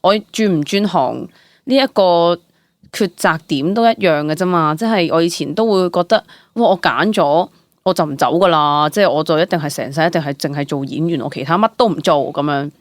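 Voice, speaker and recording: A young woman speaks in a lowish voice with a Hong Kong Cantonese accent, recorded dry and close. She chats candidly as a guest, telling things expressively with exclamations and the odd English word. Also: brisk, no room sound. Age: young adult. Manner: animated storytelling, exclamations, mixes in English words. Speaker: interview guest, stage actor. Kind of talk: casual candid chat.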